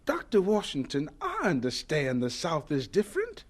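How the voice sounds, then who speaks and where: A man's voice: on the high side, huge pitch swings, moderate pace. An actor playing an earnest, polite, anxious male scientist in a radio drama, hesitant and stammering as he speaks. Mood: uneasy, questioning.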